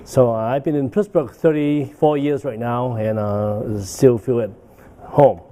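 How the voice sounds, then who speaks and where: Man in his 60s, medical lecture, rambling, soft mellow tone. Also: mid-range voice, steady tone, a little slow. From Hong Kong. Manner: rambling, with frequent "uh" and "okay?" tags. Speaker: guest lecturer. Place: banquet hall.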